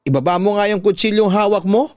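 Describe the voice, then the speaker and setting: A man's voice: high-pitched, fast talker, darkish tone, no echo, clean studio sound, voice pushed up, close to an everyday voice. A man with a Filipino accent voicing a spiteful, hot-tempered mistress in a radio drama, blustering and indignant.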